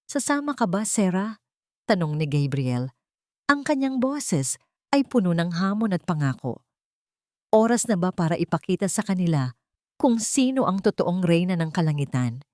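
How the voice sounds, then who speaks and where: A woman's voice: on the low side, warm tone, no echo, studio quality. A woman reading a scripted dramatic love story as its narrator.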